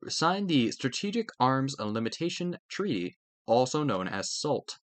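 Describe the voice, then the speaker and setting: A man's voice: mid-range voice, no room sound. A man reading educational text aloud in a scripted narration style, now and then stumbling over a word and correcting himself. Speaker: reader of a study textbook aloud. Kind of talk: scripted read-aloud of a history textbook.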